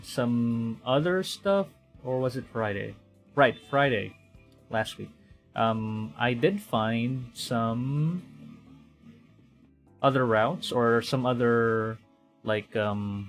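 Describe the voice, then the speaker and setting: A young man from the Philippines chatting casually while streaming a game, rambling and correcting himself as he goes. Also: mid-range voice. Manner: rambling, self-correcting, quietly talking to himself. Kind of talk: casual gameplay commentary.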